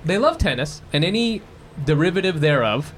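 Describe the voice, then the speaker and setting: A male podcast host with an American accent and a fairly high voice. He banters casually and jokes around, swearing freely. There is faint noise behind him. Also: sing-song, moderate pace. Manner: joking, casually profane.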